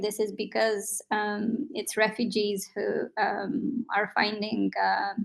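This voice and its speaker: Romanian-speaking woman guest, gravelly low-key voice, lots of ums. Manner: hesitant, many fillers and restarts. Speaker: panel guest. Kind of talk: thoughtful spoken answer.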